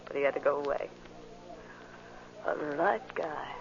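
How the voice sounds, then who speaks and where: An actress playing a brassy, jealous barroom woman, loud, slangy and wisecracking, in a 1940s radio drama. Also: sits low, animated, measured pace. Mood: brassy, teasing. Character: brassy, jealous, sharp-tongued woman who runs a bar. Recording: muffled old radio recording, faint noise.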